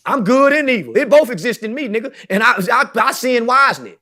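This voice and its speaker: A man's voice: extremely high pitch, expressive, fairly quick, bright, forceful tone. A man talking brashly as a podcast guest, boastful and preachy, swearing constantly.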